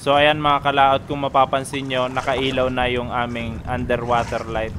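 A man's voice: medium pitch, completely flat delivery, moderate pace. A man from the Philippines casually narrating a fishing trip to his viewers, explaining each step plainly from a small boat at sea. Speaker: fishing vlogger. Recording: wind and boat noise behind.

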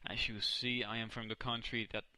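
Bright-voiced Dutch gamer, excitable. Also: male voice, lowish voice, a little fast, dry recording. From Netherlands. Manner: excitable, self-correcting, reacts loudly to plays. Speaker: gaming commentator. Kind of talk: casual let's play commentary.

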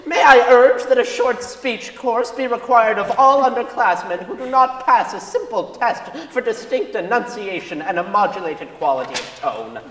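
A woman playing a prim, critical elderly alumna, reading a letter with precise, drawn-out comic emphasis. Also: a little high, fairly bright tone, lightly acted. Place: large indoor concert hall stage. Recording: echoey concert hall stage recording.

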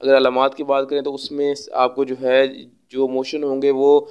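A man giving an explanatory veterinary lecture, speaking instructively with frequent hesitant pauses. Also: mid-range voice, monotone, darkish tone, clear, resonant tone, dull audio.

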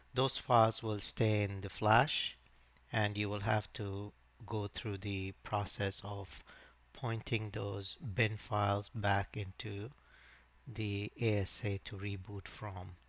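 A man hosting his own channel, talking through a screen-recorded networking tutorial in a halting way with many fillers. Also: on the low side, a bit bright, no echo. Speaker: channel host and networking instructor. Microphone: voice-over on a screen recording.

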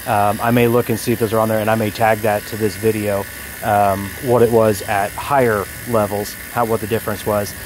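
A man's voice: fairly low pitch, level tone, fairly quick. A man in his forties talking casually and explaining things to the camera like a working technician. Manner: conversational, explanatory. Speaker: HVAC technician. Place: outdoors in a yard beside an air conditioning unit. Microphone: phone at arm's length. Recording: outdoor phone recording with background noise.

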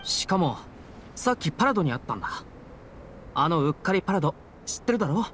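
A man's voice: fairly high pitch, sing-song, fast, fairly bright tone, slight background noise. A man playing an unlucky but hopeful teenage boy adventurer in an anime game, with a youthful voice and earnest, casual delivery. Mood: matter-of-fact. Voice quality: youthful, boyish. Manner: earnest, upbeat, casual boyish speech. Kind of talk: Japanese anime game voice acting.

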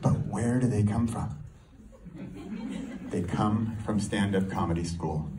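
A man performing a stand-up comedy set, telling a story in an animated way and throwing rhetorical questions at the audience. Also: on the low side, slow. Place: live comedy show before an audience. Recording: live room, distant mic, audience noise. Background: audience crowd and laughter.

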